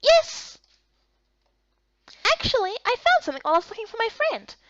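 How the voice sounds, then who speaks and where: Sweet, eager young boy played by a woman, very high and lively, visual novel dub, slightly dull audio. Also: moderate pace, heavy background noise. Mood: eager, cheerful. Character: excitable, sweet young boy with a lost pet.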